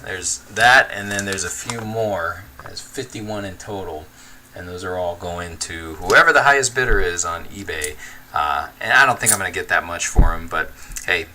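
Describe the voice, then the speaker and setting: A young man hosting a casual livestream, chatting and reading comments at an easy pace. His voice sits a little low but sounds very bright and forward. Faint noise sits behind him. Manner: easygoing, chatty, laughs often, thinks aloud with fillers. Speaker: livestream host.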